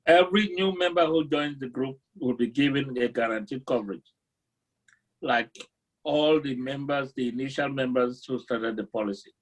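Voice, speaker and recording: A man's voice: medium pitch, moderate pace, poor audio. A man from Cameroon, an insurance representative, earnestly explaining a group policy at a meeting.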